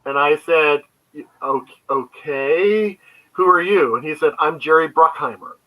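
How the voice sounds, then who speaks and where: A man speaking as a creator guest in an interview on an online call, telling stories conversationally in a halting way. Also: a little high, sharp tone, rough recording. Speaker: guest, comic book creator. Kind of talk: conversational interview answers, storytelling. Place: remote online video call.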